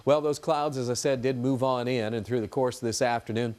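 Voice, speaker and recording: A man's voice: medium pitch, steady tone, fairly quick, a little mellow. A man giving an ad-libbed TV weather forecast in a chatty, folksy, informal way.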